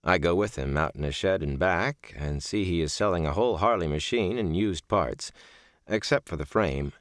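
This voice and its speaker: A man reading a first-person audiobook in a measured, reflective storytelling manner. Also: sits low, no room sound, studio quality. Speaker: audiobook narrator.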